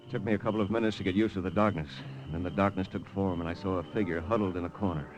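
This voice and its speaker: A man playing a steady, tough American intelligence lieutenant who narrates a 1950s radio drama tersely. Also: sits low, very fast, lo-fi sound, natural voice. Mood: tense narrating. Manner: terse, hard-boiled. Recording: vintage radio recording with loud music.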